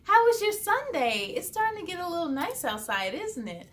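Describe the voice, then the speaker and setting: A woman hosting a children's read-aloud, speaking in an expressive, deliberate, sing-song way to young listeners. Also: very high-pitched. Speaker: host of a read-aloud story series. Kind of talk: expressive children's storybook reading.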